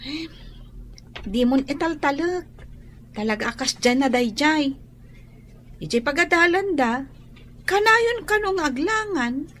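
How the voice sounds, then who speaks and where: A woman's voice: high voice, lively intonation, slow, long pauses, fairly bright tone, a little hiss or hum, heavily acted. A woman acting as a devoted, protective schoolgirl in an Ilocano radio drama. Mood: tender, protective. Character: devoted caring schoolgirl guiding her blind sibling.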